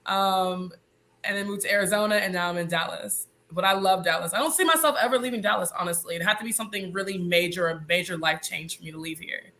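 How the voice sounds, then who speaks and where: A woman in her thirties talking casually as an interview guest. Her nose is congested, which gives her bright voice a nasal edge, and she laughs often. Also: on the low side, quick pace. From Mississippi, US. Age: 30s. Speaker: guest, nurse.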